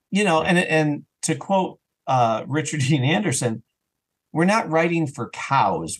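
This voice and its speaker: Guy podcast guest, kinda high voice, excited casual chat, acts out little quotes as he goes. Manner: animated, acts out imagined remarks. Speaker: podcast guest, audio drama writer and creator. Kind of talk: casual enthusiastic interview chat.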